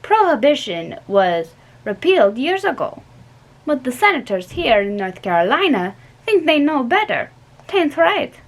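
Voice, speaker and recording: A young woman reading aloud and voicing a bitter older southern man in a raised, sharp tone. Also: high-pitched, big pitch swings, unhurried, voice pushed up, full character voice.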